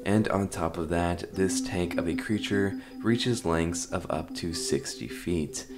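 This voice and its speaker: A man's voice: deep voice, slow pace. A man hosting a horror explainer video, giving scripted commentary with casual asides to his viewers. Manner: addresses viewers informally. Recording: music behind the voice.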